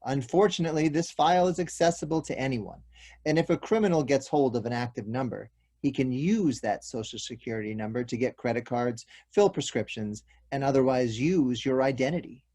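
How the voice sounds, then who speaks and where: An actor playing a courteous, apologetic police detective who explains bad news in a measured, official way at a table read. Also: male voice, mid-range voice, darkish tone, lightly acted. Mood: apologetic, explanatory. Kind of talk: stage comedy-drama table read.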